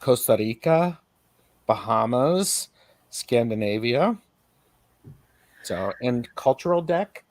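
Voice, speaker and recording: A man's voice: mid-range voice, lively intonation, slow pace, pauses often, a little mellow, dry recording. A middle-aged man co-hosting a casual chat, telling stories with small acted asides. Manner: chatty, storytelling with acted asides. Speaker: co-host, tarot reader.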